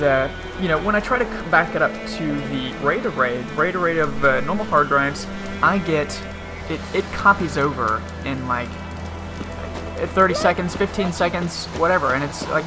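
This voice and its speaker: A man's voice: a little high, moderate pace. A man giving casual, rambling let's-play commentary and often interrupting himself. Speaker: gaming video host. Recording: game music behind the voice. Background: video game music and sounds.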